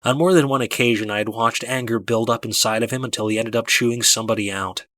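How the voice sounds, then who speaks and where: A man narrating a first-person story from a script in a measured, dramatic storytelling manner. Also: mid-range voice, fairly even intonation, fairly bright tone, dry recording. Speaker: audiobook narrator.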